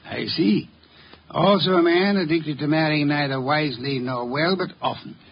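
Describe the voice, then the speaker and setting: An actor playing a huge, lazy, stubborn genius detective in a 1950s radio drama, speaking in a pompous, precise, imperious way. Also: male voice, a little high, moderate pace, husky. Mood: dry, aloof. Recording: muffled vintage radio recording.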